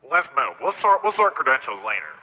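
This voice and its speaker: A man's voice: mid-range voice, big pitch swings, fairly quick, bright, forceful tone, heavily acted, poor audio. A man playing an impatient, argumentative security guard in an audio drama. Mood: urgent. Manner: blunt, argumentative.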